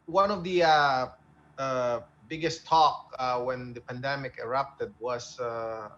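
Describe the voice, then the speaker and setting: A man's voice: mid-range voice, fairly even intonation, slow pace, a bit bright. A man casually explaining things as a co-host, hesitating, repeating words and checking in with tag questions. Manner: checks with "right?", repetitive, hesitant. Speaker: radio co-host. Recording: dull narrow audio, faint background noise.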